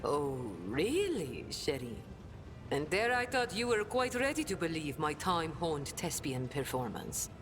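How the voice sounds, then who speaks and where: An actress playing a sly, sardonic smuggler in a video game, with a theatrical, mocking, flowery delivery. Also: female voice, deep voice, sing-song, unhurried, big-room sound, music in the background.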